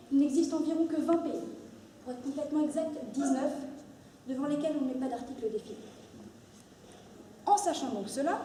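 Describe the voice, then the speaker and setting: Woman, high mellow voice, theatrical rhetorical oratory, very reverberant hall, distant recording with faint noise. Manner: theatrical, mock-puzzled delivery with rhetorical questions. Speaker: contestant in an eloquence competition. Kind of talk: rehearsed rhetorical speech to a jury. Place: large reverberant indoor hall.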